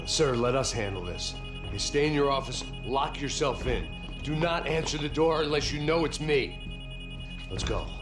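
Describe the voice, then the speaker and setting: An actor playing a weary, cynical middle-aged American ex-cop bodyguard in a noir video game, deadpan and sardonic. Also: male voice, mid-range voice, moderate pace, music in the background, close to an everyday voice. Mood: curt, commanding. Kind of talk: hard-boiled noir game narration and dialogue.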